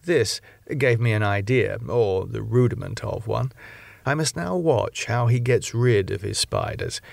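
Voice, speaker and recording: Creaky-voiced male audiobook narrator. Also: fairly low pitch, sing-song, measured pace, no echo. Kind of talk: dramatic literary narration.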